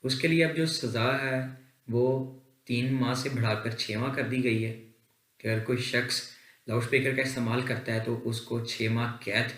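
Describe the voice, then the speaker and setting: A man's voice: mid-range voice, fairly even intonation, a little slow, a bit bright. A man with a Pakistani accent presents an explanation to viewers in a formal, earnest manner, as the host of a legal advice channel. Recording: echoey, reverberant room.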